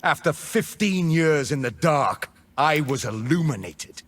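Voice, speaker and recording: A man playing a vengeful escaped mage prisoner who leads a rebellion, with dramatic, deliberate video game delivery and a rough, husky voice. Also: on the high side, expressive, fairly bright tone. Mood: brooding resolve. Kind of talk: theatrical video game voice lines.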